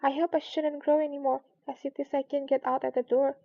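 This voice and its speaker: Woman playing Alice, a wistful high-voiced little girl. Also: little pitch movement, a little fast, creaky, no echo, dull audio. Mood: worried, regretful. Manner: earnest, musing to herself. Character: polite, wistful little girl, curious and talking to herself.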